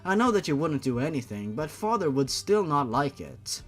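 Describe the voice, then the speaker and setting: A man voicing a blunt, sharp-tongued young woman in a dramatized fan fiction reading. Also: on the high side, sing-song, moderate pace, faint music behind. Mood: gentle. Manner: exasperated, blunt. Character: sharp-tongued, irritated young woman, fiercely loyal girlfriend.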